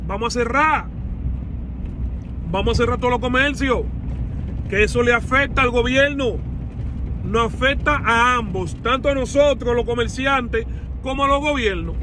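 Heated high-voiced Dominican man ranting. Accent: Dominican Spanish. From Dominican Republic. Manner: heated, rhetorical questions, blunt. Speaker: vlogger. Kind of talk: political rant to camera. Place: inside a parked car. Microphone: phone at arm's length. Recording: phone audio with clear background noise.

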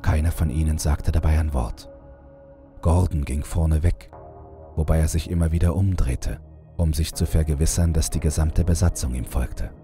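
A man's voice: extremely low pitch, fairly even intonation, normal speed, mellow, faint music behind. A man reading scripted first-person horror fiction as an audiobook narrator.

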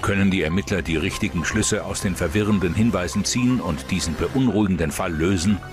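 A man reading scripted true-crime documentary narration as the narrator, in a measured, suspenseful way. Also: low voice, music in the background.

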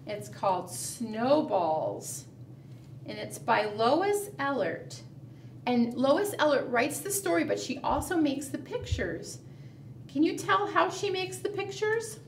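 A middle-aged woman leading a preschool storytime, animated and sing-song, coaxing small children with questions. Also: high-pitched, slow, pauses often. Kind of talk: storytime read-aloud and chat addressed to small children. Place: small indoor room, seated at a table. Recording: roomy, distant mic, faint room noise.

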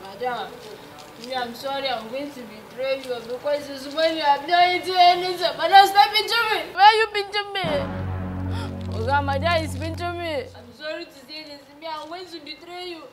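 A very high-voiced Nigerian housemaid acted by a woman in a comedy skit. She is a theatrical tattletale who repeats herself and exclaims, with faint music behind her. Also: steady tone, a little fast, close to an everyday voice. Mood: pleading, tattling. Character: blabbing, dramatic female housemaid telling on her madam. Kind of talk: broad comedy skit acting.

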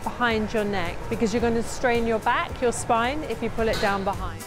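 A woman's voice: a little high, moderate pace. A middle-aged woman instructing someone hands-on through exercises, often checking that they understand. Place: indoor gym. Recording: music behind the voice. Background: music.

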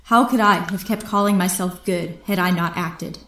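A woman's voice: on the low side, normal speed, fairly bright tone, lightly acted. An actress playing a proud, bitter female captain held prisoner, with theatrical, formal phrasing in a radio drama. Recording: roomy, echoing sound.

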